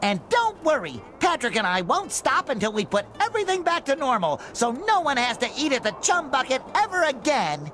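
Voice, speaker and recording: A man's voice: wildly swooping pitch, a little fast, few pauses, bright, forceful tone, soft background music, exaggerated voice. A man voicing a cheerful, naive, childlike sea sponge in a cartoon game, nasal and eager. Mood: cheerful, helpful. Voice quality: nasal, squeaky. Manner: eager, chirpy.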